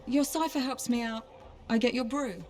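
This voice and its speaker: A woman's voice: a little high, fairly even intonation, normal speed, darkish tone, soft background music, lightly acted. A woman playing a shrewd, brash dealmaker in a video game, confident and businesslike. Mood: breezy, bantering. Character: shrewd, brash female bar-keeping dealmaker. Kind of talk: naturalistic video game acting.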